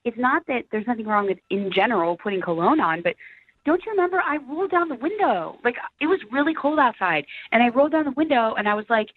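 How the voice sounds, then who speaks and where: A woman's voice: a little high, lively intonation, quick pace, no echo. A woman calling in to a radio dating segment, chatting casually and bluntly over the telephone. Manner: candid, blunt. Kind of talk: casual phone conversation. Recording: thin phone line.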